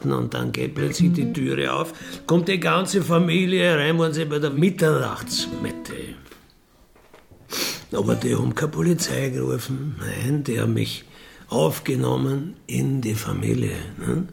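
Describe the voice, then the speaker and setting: A middle-aged or older man with a gravelly voice, telling personal stories casually and emphatically in colloquial speech, often checking for agreement. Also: mid-range voice, measured pace, soft background music. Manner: colloquial, emphatic, seeks agreement with tag questions. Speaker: interviewee, career crook telling his life story.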